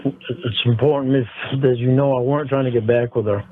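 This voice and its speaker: A man on a phone call, talking in a halting, repetitive, contrite way. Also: mid-range voice, fairly even intonation, slight background noise. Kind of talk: apologetic phone conversation. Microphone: phone line. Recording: tinny, narrow phone call playback.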